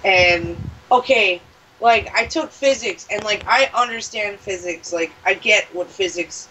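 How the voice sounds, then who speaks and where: A woman's voice: medium pitch, a little slow, sharp tone, recorded from a distance, slight background noise, low-quality recording. A woman chatting casually on a vlog, laid-back and giggly. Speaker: vlog co-host. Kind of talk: casual chat.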